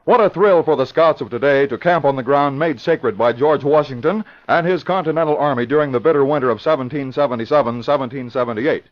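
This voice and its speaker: A man's voice: mid-range voice, quick pace, hardly any pauses. A man reading a scripted 1950s radio public-service announcement in a formal, earnest manner. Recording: dull old radio broadcast recording.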